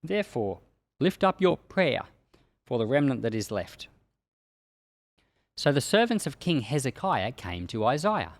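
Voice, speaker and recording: A man reading scripture aloud in a solemn, declamatory way, with deliberate emphasis on key phrases. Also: medium pitch, sing-song, dry recording. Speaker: pastor reading a Bible chapter aloud for a podcast.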